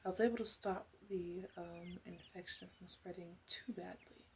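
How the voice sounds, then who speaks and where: Soft, low-pitched witch played by a woman, ASMR. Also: fairly even intonation, moderate pace, slight background noise, natural voice. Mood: chatty, wry. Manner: gentle, intimate, hesitant, doting. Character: flirty, caring witch, woman, soothing a little fairy. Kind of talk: ASMR roleplay, soft-spoken with whispered stretches.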